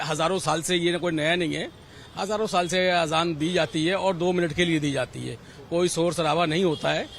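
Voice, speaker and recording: A man's voice: on the high side, fairly bright tone. A man with an Indian accent answering a street interview, emphatic and given to repeating his points. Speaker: member of the public interviewed. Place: outdoors on a city street. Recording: outdoor street, faint traffic noise.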